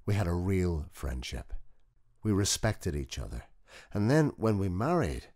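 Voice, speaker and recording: An old working-class mechanic played by a male reader tells his story in a very deep, low voice that rises and falls with lively expression. He is a gentle, proud widower, chatty and nostalgic, as in an intimate audiobook monologue. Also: unhurried, full character voice. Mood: tender, nostalgic. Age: older. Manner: conversational, wistful storytelling. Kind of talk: dramatic first-person audiobook monologue.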